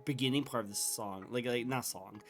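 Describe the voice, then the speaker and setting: A young man hosts a casual chat and rambles through ideas, full of fillers and self-restarts. His voice sits at an ordinary middle pitch. Faint music plays quietly under the conversation. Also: a little fast. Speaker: interview host.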